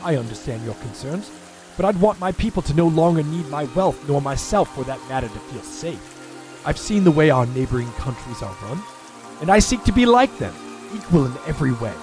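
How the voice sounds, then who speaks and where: A man's voice: a little high, big pitch swings, fairly quick, a little mellow, faint music behind. An actor playing a worried, noble king in a fantasy audio drama, speaking in formal archaic phrases. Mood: earnest, burdened.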